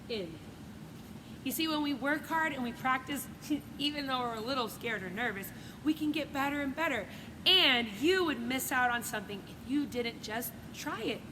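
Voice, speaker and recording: A woman reading a children's story aloud and adding simple, encouraging asides like a teacher. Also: high voice. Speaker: coach reading a picture book to students. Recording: echoey reverberant room with loud background noise.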